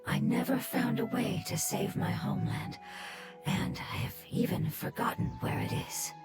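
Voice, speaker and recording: Loud music plays behind a sorrowful, gentle creature from a video game. The voice sits fairly high, breathy and close to a whisper. It speaks in formal, solemn phrases, like one longing for a lost home. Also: female voice, big pitch swings. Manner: formal, solemn, slow and deferential.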